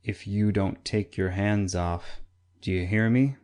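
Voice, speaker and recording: Casting: woman voicing a deep, menacing old hunchback man, slow sneering threats, audiobook. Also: fairly even intonation, darkish tone. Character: ugly old humpbacked man, snarling and menacing. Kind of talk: audiobook reading.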